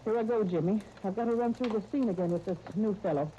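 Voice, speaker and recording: A woman's voice: mid-range voice, fast talker, dark tone, close to an everyday voice. An actress playing a sensible, loyal, plain-spoken theater woman, confiding and matter-of-fact, in a 1930s radio drama. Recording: muffled, noisy 1939 radio broadcast.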